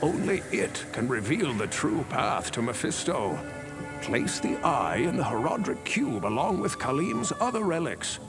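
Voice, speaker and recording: Elderly wise lore-keeper, fantasy game: gravelly, deliberate, very expressive pitch, grave delivery over loud music. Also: male voice. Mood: thoughtful, storytelling. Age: older. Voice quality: gravelly, creaky. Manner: slow, deliberate, portentous. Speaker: game character giving lore. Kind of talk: fantasy game narrative dialogue. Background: loud background music.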